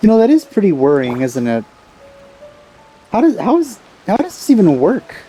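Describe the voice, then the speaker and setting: A woman's voice: mid-range voice, huge pitch swings, moderate pace, dark tone, low-quality recording. A woman giving casual let's play commentary, musing aloud as she reacts to the game. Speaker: let's player. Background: game music.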